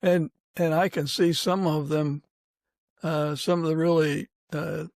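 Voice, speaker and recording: A man hosting a casual interview, speaking in a halting, agreeable and affirming way. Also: a little high, little pitch movement, gravelly, no echo, studio quality. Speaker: podcast host.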